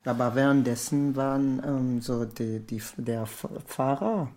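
A woman, not a German speaker, retelling a story haltingly as she searches for words. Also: extremely low pitch, dark tone.

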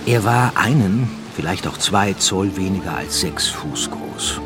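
An actor playing the measured, literary narrator of a seafaring radio play. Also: male voice, low voice, animated. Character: measured male narrator of a sea novel. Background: loud music and sea atmosphere.

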